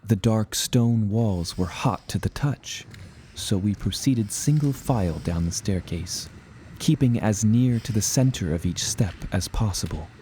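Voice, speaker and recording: Deep, dark-voiced male narrator. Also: unhurried, a little hiss or hum. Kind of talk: scripted first-person adventure narration.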